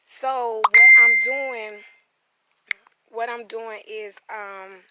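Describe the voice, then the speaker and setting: A hoarse woman from South Carolina, chatting loosely on a live-stream vlog outdoors on family land, greeting viewers by name as she goes. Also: fairly high pitch, steady tone, extremely slow, noisy background. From South Carolina, USA. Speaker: spiritual reader and vlogger. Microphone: phone camera.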